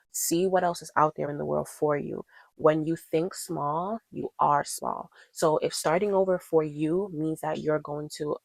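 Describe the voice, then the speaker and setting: A woman's voice: sits low, creaky, dry recording. A woman in her twenties talking casually to her viewers as a vlogger, encouraging and emphatic, repeating her points for stress. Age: 20s. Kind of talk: casual chat to camera.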